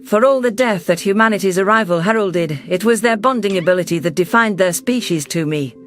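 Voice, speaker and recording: A man voicing a caring, composed female alien leader who narrates a sci-fi story in a gentle, reflective way. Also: high voice, brisk, faint music behind. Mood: tender. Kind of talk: first-person sci-fi story narration and dialogue.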